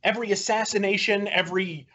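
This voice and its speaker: Man, fairly high voice, political debater, florid opinionated phrasing, dull recording. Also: fairly even intonation, fast talker, pushed and bright. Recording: dull lo-fi audio.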